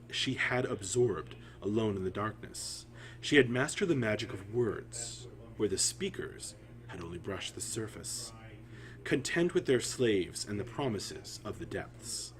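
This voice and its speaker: A man telling a fantasy story in a solemn, theatrical way, with deliberate phrasing and dramatic pauses. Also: mid-range voice.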